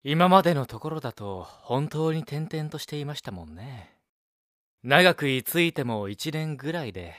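An actor playing a dutiful, courteous young cafe assistant in an audio drama, with a gently teasing manner. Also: male voice, on the high side, very animated, moderate pace, no room sound, studio quality. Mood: fond. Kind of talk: Japanese audio drama acting.

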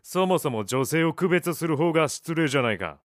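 An actor playing a gruff middle-aged cafe owner in an audio drama, blunt and offhand with cheeky retorts. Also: male voice, on the high side, animated, a little fast, no room sound, studio quality, close to an everyday voice. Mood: nonchalant. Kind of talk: Japanese audio drama acting.

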